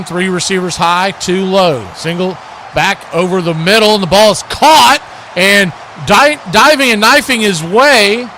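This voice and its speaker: A man doing radio-style live football play-by-play commentary, mixed with casual asides. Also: high-pitched. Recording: steady background noise.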